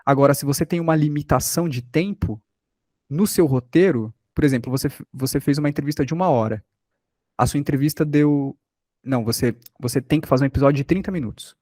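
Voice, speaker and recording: A man's voice: a little high, a little fast, darkish tone, no echo. A Brazilian man giving an informal lesson as a workshop instructor, explaining by example with rhetorical questions and check-ins.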